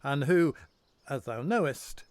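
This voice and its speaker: An actor playing a proud, overconfident lord in a Shakespearean audio drama, speaking in an emphatic, theatrical way. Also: male voice, medium pitch, lively intonation, takes it slowly, no echo. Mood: cocksure. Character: proud, overconfident English lord, scornful and loyal.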